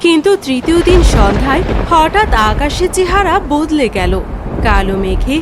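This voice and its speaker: A woman's voice: sits high, very animated, normal speed, background noise. A woman giving scripted storybook narration of a fairy tale.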